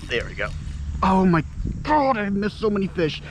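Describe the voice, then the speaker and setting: A man's voice: high voice, wildly swooping pitch, moderate pace, noisy background. A man filming himself fishing from a kayak on a pond, exclaiming and talking to the fish as he lands it, recorded outdoors on a head-mounted camera. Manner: breathless exclamations, talks to the fish. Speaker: fishing vlogger. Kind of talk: excited self-filmed fishing commentary. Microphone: head-mounted action camera.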